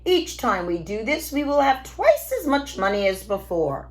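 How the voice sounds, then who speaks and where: A woman voicing an old husband in a storybook, full of wonder and expressive exclamation. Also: fairly high pitch, moderate pace, voice pushed up. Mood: delighted. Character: old farmer husband, puzzled then delighted, storybook.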